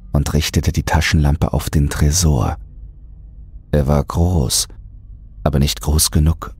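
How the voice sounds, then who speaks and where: A man's voice: rumbling low, darkish tone, slightly rough, no echo. A man reading a scripted horror story as an audiobook narrator.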